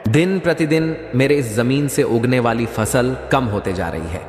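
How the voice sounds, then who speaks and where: A man's voice: medium pitch, moderate pace, a little mellow, lightly acted. Echoey man acting an earnest village farmer. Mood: worried, pondering. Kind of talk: Hindi moral-story cartoon voice acting. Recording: echoey, long reverb.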